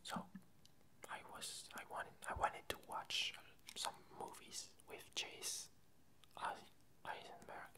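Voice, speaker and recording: Slow, whispered ASMR rambling. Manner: hesitant, unhurried, meandering. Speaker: ASMR channel host.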